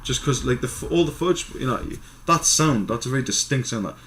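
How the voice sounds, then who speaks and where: Scottish man, podcast co-host, rapid pace, mid-pitched, casual and sweary. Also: animated, fairly bright tone, a little hiss or hum. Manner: sweary, informal. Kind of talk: casual chat.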